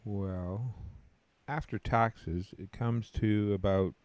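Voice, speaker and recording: A man playing a patient, deadpan companion to an immortal, in a measured scripted audio drama style. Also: on the low side, no echo.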